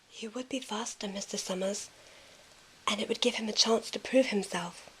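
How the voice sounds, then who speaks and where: A woman playing a gentle, shy, encouraging companion with a soft, coaxing manner in an amateur audio drama. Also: medium pitch, moderate pace, husky, low-quality recording.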